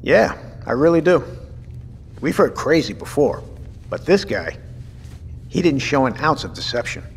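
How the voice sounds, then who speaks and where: An actor playing a middle-aged captain and father, courteous, measured and thoughtful, in an audio drama. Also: mid-range voice, big pitch swings, husky, full character voice. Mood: pensive. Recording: reverberant room with faint noise.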